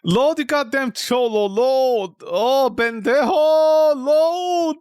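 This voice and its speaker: A man's voice: extremely high pitch, takes it slowly, darkish tone, clean tone, no echo, clean studio sound. A man streaming a game with casual, mocking, exasperated commentary and plenty of swearing. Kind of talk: casual, profane gameplay commentary.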